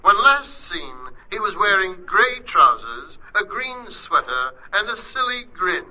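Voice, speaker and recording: A man playing a formal, urgent news announcer with a crisp, proclaiming delivery in 1940s radio style. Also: high voice, sing-song, unhurried, background noise. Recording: dull, band-limited 1940s radio recording.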